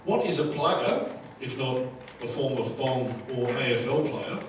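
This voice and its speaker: A man's voice: mid-range voice, lively intonation, a little slow. A man moderating a live workshop panel in a wry manner. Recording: echoey room, distant mic, noisy background.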